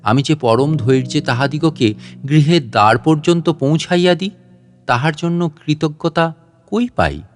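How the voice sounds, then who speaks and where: A man narrating a classic literary story in a slow, solemn, reflective reading voice. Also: on the high side. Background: soft guitar music.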